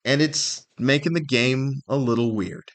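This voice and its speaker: A man's voice: mid-range voice, measured pace. An older man with an American accent, talking casually and in a rambling, self-deprecating way as he hosts a solo podcast. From Southern California, United States. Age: older, 50s or above. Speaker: podcast host.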